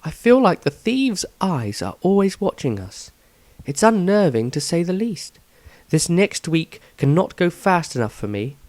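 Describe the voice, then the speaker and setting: A man voicing an uneasy, observant adventurer, soft and thoughtful, reading a fantasy diary entry. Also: high voice, big pitch swings, measured pace, no room sound. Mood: uneasy, pensive. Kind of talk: fantasy audio story diary.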